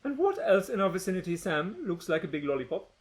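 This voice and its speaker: A man's voice: high-pitched, sing-song, quick pace, voice pushed up. A man voicing a bossy, sneering schoolgirl bully who speaks in a prim, over-polite way.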